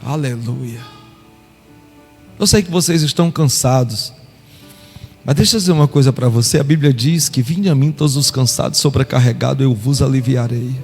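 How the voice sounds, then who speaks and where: A man's voice: mid-range voice, darkish tone. A middle-aged man from Brazil, preaching a live sermon with fervent exclamations of praise. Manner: impassioned, exclaims aleluia often. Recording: reverberant hall with faint music.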